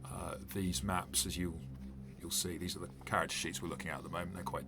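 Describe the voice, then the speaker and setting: A man's voice: low-pitched, flat delivery, fast, vocal fry. A man who runs tabletop games, giving a casual, rambling commentary while unboxing a game set, with many fillers and restarts. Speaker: game master and reviewer.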